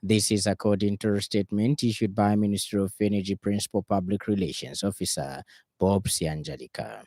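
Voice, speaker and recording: A man's voice: fairly low pitch, fairly even intonation, fairly quick, warm tone, no room sound, studio quality. A man with a Zambian accent reading a formal radio news bulletin as a news reader.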